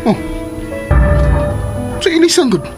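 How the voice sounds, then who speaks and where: A lovestruck young son in a Philippine radio drama. His voice is high and swings about with eager, earnest feeling. Music plays clearly behind him. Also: very animated, takes it slowly, full character voice.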